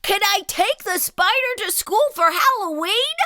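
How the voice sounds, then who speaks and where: A woman voicing an excitable, easily scared young boy cat in a breathless, dramatic storybook read-aloud. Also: very high voice, a little slow, no room sound, clean studio sound, pitched above the performer's own voice, heavily acted. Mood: eager pleading.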